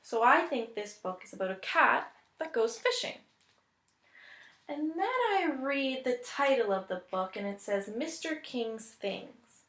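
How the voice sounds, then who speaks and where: A woman reading a picture book aloud as a teacher would, deliberate and expressive, pausing to think aloud. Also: high-pitched. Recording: echoey room.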